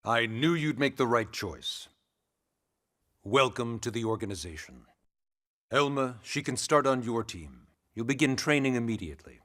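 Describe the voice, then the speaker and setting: A man playing a wry, formal, gently pushy government secretary in a video game cutscene, courteous and smug. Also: medium pitch, expressive, normal speed, natural voice. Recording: loud music behind the voice.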